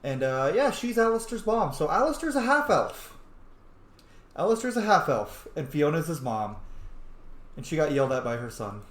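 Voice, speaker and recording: A man's voice: fairly high pitch, sing-song, moderate pace, echoey room. A man giving casual game commentary, musing aloud and talking back to the characters as he plays. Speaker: streamer playing a role-playing game.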